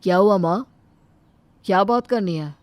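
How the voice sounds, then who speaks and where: A young married son, voiced by a woman in a cartoon story, with a brisk, plain-spoken delivery. The voice sits in a middle pitch. The sound is dry and close. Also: a little mellow, no room sound, natural voice. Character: young married son, curt then caring. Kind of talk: cartoon dubbing.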